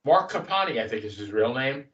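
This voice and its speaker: A man's voice: medium pitch, big pitch swings, brisk, a bit bright, far from the mic. A man co-hosting a wrestling talk show in casual chat, asking questions and readily agreeing. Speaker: wrestling podcast co-host. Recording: dull, roomy, off-mic.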